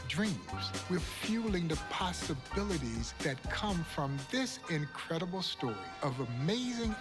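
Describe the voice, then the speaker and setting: An American man narrating documentary-style stories with emphatic, folksy oratory. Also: on the high side. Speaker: baseball museum storyteller. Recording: music bed under the voice. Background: loud background music.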